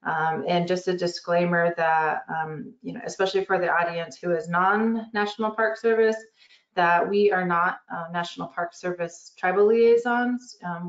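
A woman presents in a webinar over her laptop mic, often pausing with "um" as she walks through slides. Her voice sits a little low. The sound is roomy and dull, like a home video call. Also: steady tone. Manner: halting, many ums.

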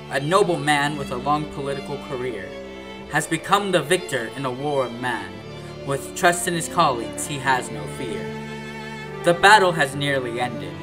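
A man's voice: mid-range voice, lively intonation, normal speed. A man narrating a radio drama from a script, with theatrical storytelling delivery. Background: music.

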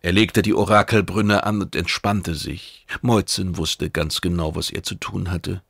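Low, gravelly male audiobook narrator. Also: fairly even intonation, unhurried, fairly bright tone, dry recording, studio quality. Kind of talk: scripted dramatic narration of a science fiction novel.

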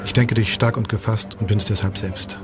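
An actor playing a composed, resolute imprisoned revolutionary in a docudrama, speaking in solemn, measured, formal phrases. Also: male voice, on the low side, fairly even intonation, natural voice. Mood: tender, reassuring. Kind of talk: German docudrama acting, letter voice-over. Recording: loud music over the voice.

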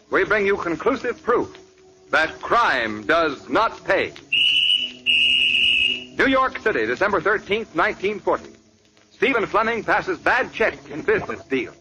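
A man's voice: sits high, bright, forceful tone. A man announcing the closing of a 1940s radio drama in a formal, declamatory manner. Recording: dull old radio recording.

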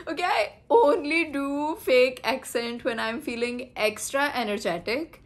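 A woman's voice: high voice, lively intonation, slow pace, soft background music. Chatty Pakistani woman vlogging on a phone. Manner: chatty, addresses viewers directly. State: tired after long travel. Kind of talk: casual travel vlog. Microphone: phone camera at arm's length.

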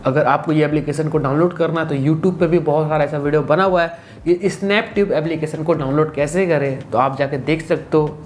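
Male tutorial host, twenties, slightly high pitch, casual teaching style, some background noise. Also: steady tone, brisk. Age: 20s. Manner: instructional, repeats stock phrases. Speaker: tutorial presenter. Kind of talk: casual phone-app tutorial. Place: small indoor room.

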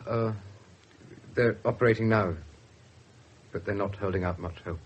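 A man's voice: on the low side, fairly even intonation, normal speed, slightly rough, muffled recording. An actor playing an earnest, deferential young English policeman in a radio drama. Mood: subdued. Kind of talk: 1970s British radio drama acting.

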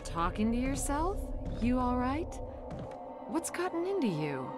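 An actress playing an earnest, resolute young special-police officer in a video game cutscene. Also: female voice, sits high, big pitch swings, a little slow. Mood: concerned. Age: young adult. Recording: music behind the voice.

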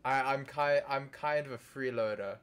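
A young man talks casually to a friend over a voice call, teasing and joking. Now and then he drifts into a hushed, mock-dramatic musing. A faint hiss sits under the voice. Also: medium pitch, moderate pace. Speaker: gaming video creator.

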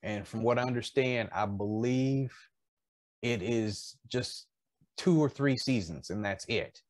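A man in his fifties chatting casually as a podcast co-host, often pausing mid-thought. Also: medium pitch, no room sound, studio quality. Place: indoors in a home collectibles room.

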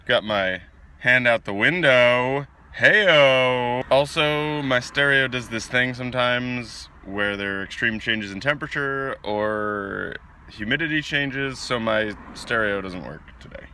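A man's voice: medium pitch, takes it slowly, bright, forceful tone, slight background noise. A man in his twenties with an American accent, chatting in an upbeat, casual way for a video diary. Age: 20s. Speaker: vlogger.

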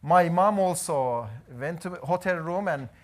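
Male missionary, 30s, lively storytelling testimony, fairly high voice. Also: unhurried. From Iran. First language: Azeri. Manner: animated storytelling, gesturing while pacing. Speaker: missionary preacher. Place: church hall, indoors.

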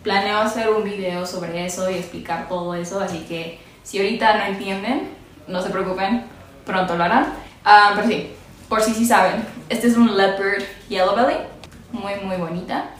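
A woman's voice: medium pitch, fairly even intonation, moderate pace, slight background noise. A young Mexican woman with a Mexican Spanish accent, chatting casually and giggling as she shows her reptiles in a small bare room, recorded on a camera mic at medium distance. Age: young adult. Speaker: reptile keeper vlogger. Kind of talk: casual unboxing vlog. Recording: echoey room with loud ambience.

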